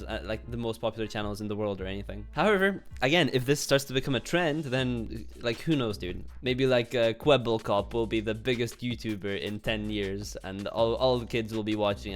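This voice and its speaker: A young man from Poland with a Polish accent giving informal, sweary YouTube commentary and reading comments aloud. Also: mid-range voice. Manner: informal, swearing, chuckles between remarks.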